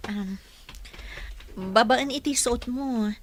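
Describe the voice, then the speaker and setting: A woman's voice: a little high, slow. A woman acting as a struggling, caring young mother in a dramatised audio story. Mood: curious, polite.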